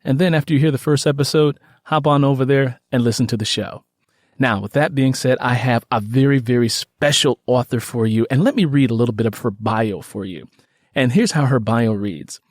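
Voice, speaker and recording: A man's voice: mid-range voice, fairly quick, no room sound. Effusive male podcast host, dry sound. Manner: effusive, repeats phrases. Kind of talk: podcast interview hosting.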